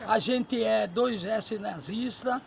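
A middle-aged man from Brazil with a Cuiabá accent talks in a very high, gravelly voice, bragging playfully and rattling off invented English and Chinese sounds. He is captured close on a phone outdoors at night, the sound a bit muffled, with people chattering around him. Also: slow, crowd noise. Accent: Cuiabá Portuguese. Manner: playful, boastful, spouts made-up English and Chinese gibberish. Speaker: street character being interviewed. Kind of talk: joking street chat. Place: outdoors at night on a wet street by a snack stand. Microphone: phone camera held close.

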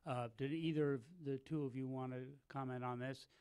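A man moderating a panel's question time from a conference hall stage, polite and brisk. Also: mid-range voice, fairly even intonation, darkish tone, smooth.

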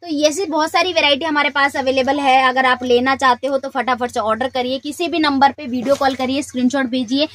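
A woman's voice: high-pitched, steady tone, fairly quick, hardly any pauses, a bit bright. A woman hosting a shop video who shows suits one after another and talks in a chatty, repetitive sales patter. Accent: Hindi speaker from North India. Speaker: shop video host showing wholesale suits. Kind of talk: product showcase sales pitch.

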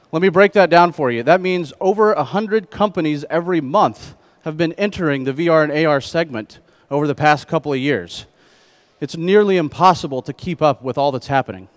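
A middle-aged man presenting a conference keynote on stage through a headset mic, speaking in measured phrases. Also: on the high side. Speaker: keynote speaker, trade association president.

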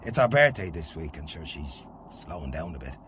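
An actor playing a devoted, bashful working man who lives with his mother, halting and self-deprecating, with an Irish accent, in a radio play. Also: a bass voice, very animated, a bit bright, slight background noise, heavily acted. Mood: wry, reluctant. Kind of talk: radio play acting, naturalistic dialogue.

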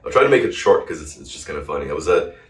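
Low-voiced man from Brazil, roomy sound. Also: sing-song, racing. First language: Portuguese. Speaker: interview guest, polyglot. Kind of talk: casual conversational storytelling. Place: indoor living room, on a sofa. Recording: roomy, distant microphone.

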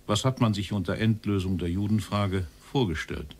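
Husky, low-voiced interrogator. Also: male voice, moderate pace, a little mellow. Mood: probing. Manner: probing, direct. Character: probing, stern male post-war interrogator. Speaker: actor in a radio docudrama. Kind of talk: interrogation scene in a radio play.

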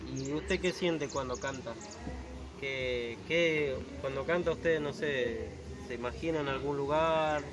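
A man's voice: mid-range voice, takes it slowly. A man interviewing a folk singer, asking his questions hesitantly with many fillers. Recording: loud background noise outdoors.

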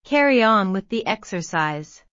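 A woman modelling English phrases for learners, repeating each one slowly and then word by word. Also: medium pitch, expressive, fairly bright tone, dry recording. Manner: very slow, carefully enunciated. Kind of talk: slow drill reading, word by word. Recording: dull, slightly lo-fi sound.

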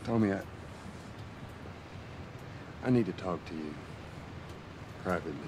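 Gruff, low-voiced Texan survivor. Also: male voice, unhurried, background noise. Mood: guarded. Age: middle-aged. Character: gruff, weary older brother, hardened guarded survivor. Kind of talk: video game cutscene acting.

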